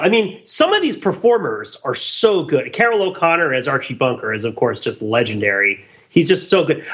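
A man's voice: on the high side, a bit bright, dull audio. A man co-hosting a podcast, explaining his thoughts in a casual, rambling, enthusiastic analytical discussion. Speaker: podcast co-host and commentator.

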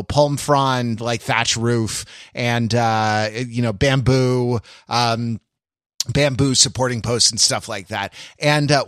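A man hosting a podcast, talking casually in a halting, searching way. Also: mid-range voice, fairly bright tone, dry recording, studio quality. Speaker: podcast host. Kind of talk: casual discussion.

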